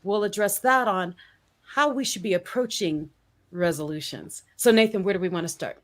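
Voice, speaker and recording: A woman's voice: medium pitch, moderate pace. A woman hosting a live-stream talk show, chatting in an upbeat way and laughing often. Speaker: host. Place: home in Colorado.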